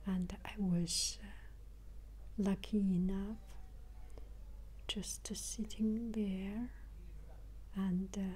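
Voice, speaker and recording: An older woman giving a reflective travel vlog narration, haltingly, repeating phrases as she searches for words, in a breathy, whispery voice. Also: mid-range voice, steady tone, warm tone, slight background noise. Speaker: travel vlogger.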